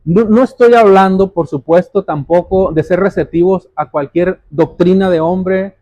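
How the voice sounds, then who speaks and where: A man delivers a sermon in a high-pitched yet mellow voice. He explains scripture like a teacher, repeating key phrases and asking pointed questions of his listeners. The sound is dry and a little muffled. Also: a little slow, dry recording. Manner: addresses listeners directly as brothers. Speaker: preacher.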